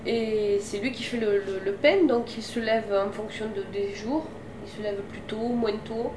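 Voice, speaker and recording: A woman's voice: medium pitch, fairly even intonation, measured pace, roomy sound, noisy background. Chatty bakery seller woman with a cold. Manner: chatty, jokes with customers. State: has a cold, blocked nose. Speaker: bakery shop assistant. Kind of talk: casual chat with customers and interviewer. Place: inside a bakery shop.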